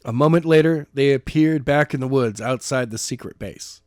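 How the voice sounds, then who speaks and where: Male amateur narrator, steady plain read, mid pitch, dry close mic. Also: moderate pace, no room sound. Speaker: audiobook narrator. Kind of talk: amateur reading of a novel.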